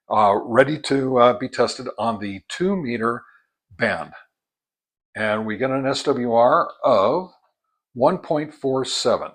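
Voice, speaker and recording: A man's voice: mid-range voice, fairly bright tone, slightly rough. A man giving a casual product review and test demonstration, explaining each step methodically as a hobbyist reviewer. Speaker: ham radio product reviewer.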